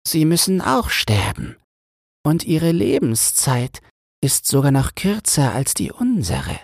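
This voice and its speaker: Man putting on a creaky, slow old mermaid grandmother. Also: on the high side, huge pitch swings, no room sound, clean studio sound, voice pushed up, heavily acted. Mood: solemn, matter-of-fact. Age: older. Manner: slow, drawn-out, instructive. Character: old mermaid grandmother, wise, matter-of-fact and resigned. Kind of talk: fairy-tale audiobook character voice.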